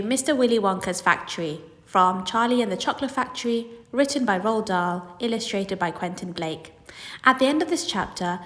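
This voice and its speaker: Woman, read-aloud teacher, animated children's story narration, lively intonation, medium pitch, hall-like reverb.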